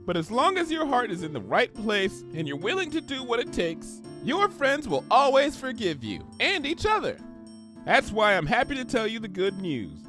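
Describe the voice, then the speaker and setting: A man voicing a hyperactive, cheerful young female cartoon pony with a bubbly, gushing, rambling manner. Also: high voice.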